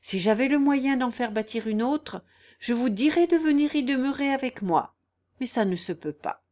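A woman voicing a humble young peasant woman in a story, speaking plainly with gentle firmness. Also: high voice, expressive, normal speed, pitched above the performer's own voice. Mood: earnest resolve. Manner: plain, firm, gently resolute.

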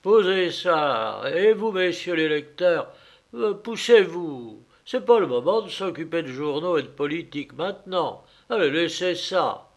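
Man doing a loud jeering masked drunk. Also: fairly high pitch, lively intonation, measured pace, voice pushed up. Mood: rowdy. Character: rowdy drunk masked man, bossy and mocking.

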